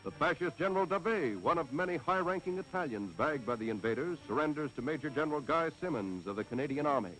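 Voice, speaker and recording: An American man delivers vintage 1940s newsreel narration, pitched fairly high. His reading is scripted, declamatory and stirringly patriotic, set against prominent orchestral music on an old film soundtrack. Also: animated, fast talker, few pauses, poor audio.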